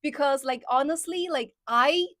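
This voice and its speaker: Woman host, native Chinese speaker with a Midwest-leaning American accent, higher voice, casual livestream chatter. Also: normal speed, a bit bright, no echo, clean studio sound. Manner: chatty, many fillers like "like" and "somehow", laughs often. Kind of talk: casual livestream chat with viewers.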